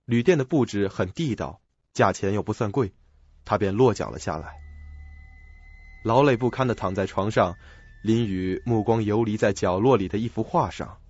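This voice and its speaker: A man's voice: lowish voice, normal speed, a little mellow, breathy edge, no echo. A man narrating a radio drama in a scripted storytelling style. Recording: dull lo-fi audio, music behind.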